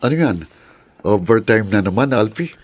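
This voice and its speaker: Easygoing male confidant, radio drama; teasing, familiar, slightly deep voice. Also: expressive, moderate pace, husky, no room sound, lo-fi sound. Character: friendly, teasing man, the husband's brotherly confidant. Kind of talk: Tagalog radio drama acting.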